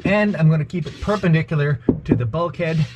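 A man's voice: on the high side, lively intonation, brisk, highs cut off. A man in his forties vlogging casually, thinking aloud as he works on his wooden boat, filming himself up close with a handheld camera. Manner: chatty, thinking aloud while working. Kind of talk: casual how-to vlog. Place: inside a wooden boat, outdoors at a marina, in a truck. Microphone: handheld action camera, close. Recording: clear background noise.

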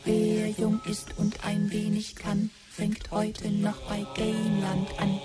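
Actress as detached narrator reciting verse, flat and slow, radio play. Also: female voice, medium pitch, music in the background, close to an everyday voice. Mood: detached, measured. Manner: measured, even recitation. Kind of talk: rhymed radio drama narration.

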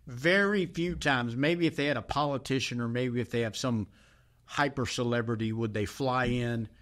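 Middle-aged male pastor, podcast co-host, animated and emphatic storyteller. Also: medium pitch, normal speed. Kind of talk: casual chat.

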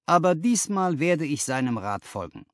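A man voicing a brisk, patronising company boss in an audiobook, businesslike and used to giving orders. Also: a little high, big pitch swings, no room sound, clean studio sound, pitched above the performer's own voice. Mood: brisk, commanding. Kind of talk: audiobook character dialogue.

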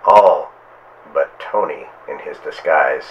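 A man hosting and narrating a pulp horror radio tale in a melodramatic, drawn-out manner. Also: very deep voice, highs cut off. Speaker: host and narrator.

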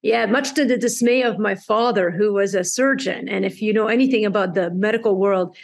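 A woman's voice: mid-range voice, fairly quick, creaky. A woman in her forties from Lebanon, a doctor and author giving interview answers, telling her story fluently and articulately over a video call. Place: home room indoors. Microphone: laptop camera on a video call.

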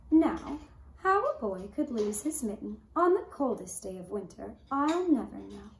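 A woman in her thirties narrating a children's story for a puppet show, with gentle, deliberate phrasing, recorded from a camera in a room. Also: on the high side, big pitch swings. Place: indoor puppet stage in a decorated room. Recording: roomy echo, clear background noise.

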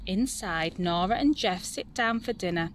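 Expressive young woman reading to children. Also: mid-range voice, moderate pace, slight background noise. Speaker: storybook reader. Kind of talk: expressive children's story narration.

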